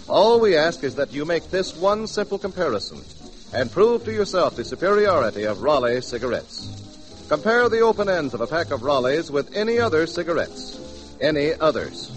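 1940s radio announcer, American male, highish and very animated, emphatic sponsor-pitch delivery, music in the recording. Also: normal speed, low-quality recording. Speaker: radio announcer and straight man. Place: radio studio before an audience.

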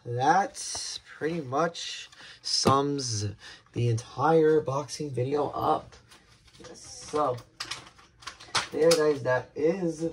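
A young American man chatting casually through a hands-on unboxing, often repeating words and restarting. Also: medium pitch. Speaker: video creator unboxing weather radios. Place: indoors at a desk. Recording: clear background hiss and handling clicks.

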